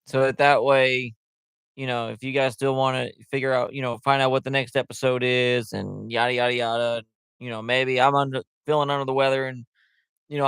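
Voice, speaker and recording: Male livestream host, mid-pitched, loose and chatty with plenty of fillers; clean, resonant tone. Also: fairly bright tone, clean tone, no room sound. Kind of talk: casual livestream chat. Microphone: headset.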